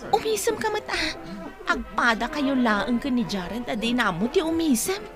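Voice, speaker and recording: A woman plays a meddling young friend in a radio comedy-drama. Her voice is very high and swings widely in pitch. She is lively and coaxing, with faint music behind her. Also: normal speed. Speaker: actor in audio drama.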